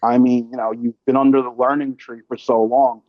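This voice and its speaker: A man's voice: medium pitch, flat delivery, brisk, no echo. A man hosting a podcast in casual, slangy, joking chat with some swearing, on slightly dull audio. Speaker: podcast host.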